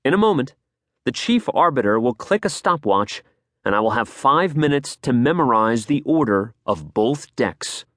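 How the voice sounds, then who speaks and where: Lively American male audiobook narrator. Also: mid-range voice, normal speed, no room sound, studio quality. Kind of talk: scripted nonfiction audiobook narration. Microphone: close studio microphone.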